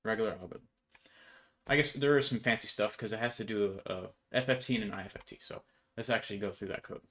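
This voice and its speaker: A man's voice: fairly low pitch, fast talker, a bit bright. A young man giving an informal code walkthrough in an offhand, slangy way. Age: young adult. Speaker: programmer presenting his project. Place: indoor room at home.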